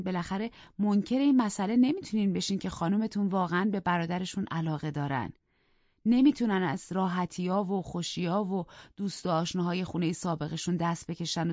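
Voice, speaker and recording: A man's voice: high-pitched, a little mellow, no echo. A man reading an audiobook, voicing a proper, earnest middle-aged housekeeper in a polite, pleading way. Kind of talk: audiobook character voice. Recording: dull dry studio recording.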